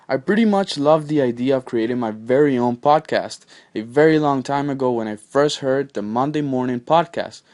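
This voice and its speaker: A man's voice: medium pitch, a little mellow, no echo. A man in his twenties from Honduras, hosting a casual solo podcast and rambling with many fillers and restarts, recorded on his phone. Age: 20s. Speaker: podcast host. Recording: dry phone recording with faint music.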